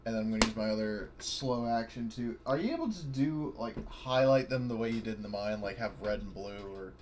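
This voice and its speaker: A man playing a tabletop game who swears freely and thinks out loud about the rules. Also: lowish voice, brisk, a little hiss or hum. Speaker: player. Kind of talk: casual tabletop game chat.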